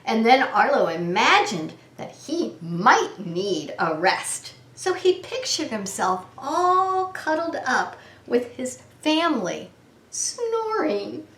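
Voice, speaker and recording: Female preschool story time host, animated and drawn-out storybook delivery, roomy echoing recording. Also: a little high. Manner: dramatic, drawn-out emphasis for small children. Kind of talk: expressive children's storybook reading.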